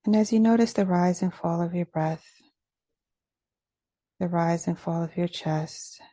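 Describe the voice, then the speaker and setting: A woman leading a guided meditation in a gentle, measured, instructional way. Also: fairly low pitch, no room sound.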